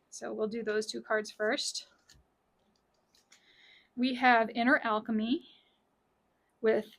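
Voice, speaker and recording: A woman with a raspy, scratchy voice giving a casual tarot reading from her small home office, chatty and repeating key lines. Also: fairly high pitch. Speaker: tarot reader.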